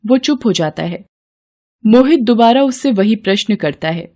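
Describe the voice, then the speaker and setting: A woman's voice: a little high, expressive, normal speed, dark tone. A woman reading scripted narration for a moral bedtime story.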